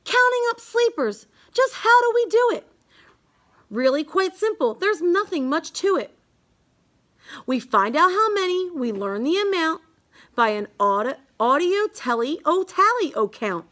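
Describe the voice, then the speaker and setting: Female storybook reader, extremely high voice, unhurried singsong delivery of children's verse. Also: sing-song, a bit bright. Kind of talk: read-aloud of a rhyming children's picture book.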